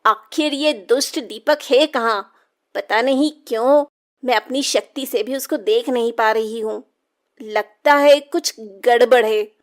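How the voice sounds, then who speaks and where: A woman's voice: a little high, a little slow, lightly acted. A woman voicing a vengeful young witch in a children's cartoon story, with a mocking, cackling manner. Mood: vengeful, brooding. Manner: taunting, cackling.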